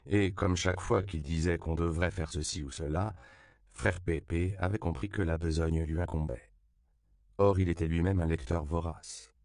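Male audiobook narrator, very low and gravelly, dark mellow tone, dry close recording. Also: dry recording. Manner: calm, measured reading.

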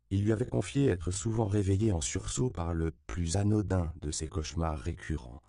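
Deep, gravelly male audiobook narrator. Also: dry recording, studio quality. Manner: calm, measured reading.

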